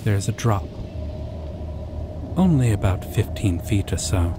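A man narrating a scripted second-person story in an ASMR roleplay, deliberately, with dramatic pauses. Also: lowish voice. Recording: loud steady background noise.

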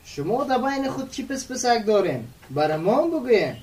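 A man acts a polite young village man for a radio play. He speaks in a high, lively voice with a courteous, questioning manner, sounding a little away from the microphone. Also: recorded from a distance, a little hiss or hum. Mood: inquisitive. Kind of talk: radio drama acting in dialogue.

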